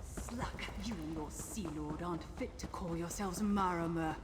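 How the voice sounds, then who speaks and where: A woman's voice: fairly low pitch, normal speed, lightly acted. A woman playing a defiant, scornful sea elf warrior in a video game. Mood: scornful, guarded. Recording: echoing cave reverb, noisy game ambience.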